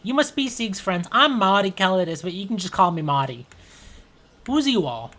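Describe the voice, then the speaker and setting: A woman voicing an excitable, nervous, friendly young aquatic man in a tabletop game. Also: medium pitch, normal speed, pitched above the performer's own voice. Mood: excited, gushing. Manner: eager, gushing.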